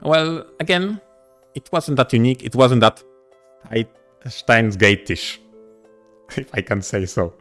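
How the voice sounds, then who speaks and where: A man talking casually as a podcast co-host, a little halting, with emphatic repeated phrasing. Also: mid-range voice, very animated, fairly bright tone, faint music behind.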